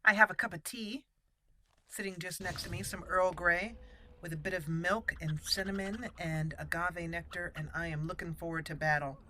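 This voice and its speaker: Bright, chatty woman gamer. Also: on the low side, fairly even intonation, normal speed, slight background noise, low-quality recording. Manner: chatty, giggly, playful exclamations. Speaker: let's play host. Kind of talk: casual gameplay commentary.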